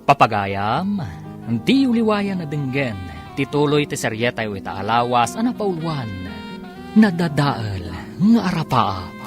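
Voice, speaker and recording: A man's voice: mid-range voice, very animated, unhurried. A man with a Filipino accent reading a scripted, dramatic announcement as a radio drama announcer. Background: music with guitar.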